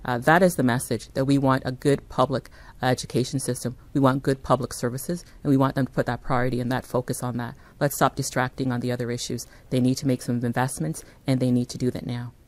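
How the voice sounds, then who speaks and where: A woman's voice: extremely low pitch, little pitch movement, quick pace, few pauses, darkish tone. A middle-aged woman, a teachers' union leader, giving an earnest, insistent statement at a press conference. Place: indoor press conference room. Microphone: podium microphones.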